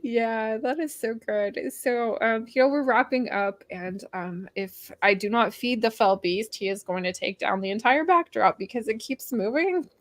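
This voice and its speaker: A woman's voice: fairly high pitch, normal speed, hardly any pauses, dry recording. A woman hosting an online book club, talking in a chatty, upbeat way with frequent "um"s, at home with a cat nearby. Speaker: host of a book club stream. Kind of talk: casual online book discussion.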